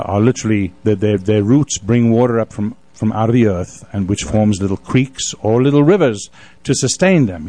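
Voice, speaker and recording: A man's voice: lowish voice, warm tone. A man hosting a casual radio interview, chatting in a jovial and opinionated way. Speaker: radio show host.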